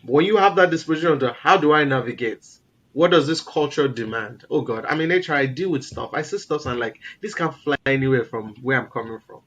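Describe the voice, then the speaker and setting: A man from Nigeria with a naturally loud voice, talking as an interview guest in an animated, emphatic way. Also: medium pitch, a little fast, lo-fi sound. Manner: animated, emphatic, repeats words for stress. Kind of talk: casual interview conversation.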